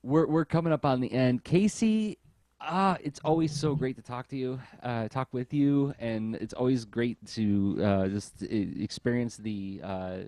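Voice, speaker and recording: A man's voice: mid-range voice, measured pace, darkish tone, no echo. A middle-aged man hosting a podcast in a casual, conversational style. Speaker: podcast host.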